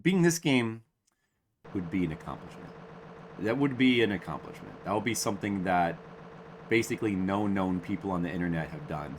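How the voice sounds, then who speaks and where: A man's voice: low-pitched, expressive, brisk, a little hiss or hum. A man in his forties, a game streamer, chatting casually and ramblingly to his viewers at home, with self-mocking humor. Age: 40s.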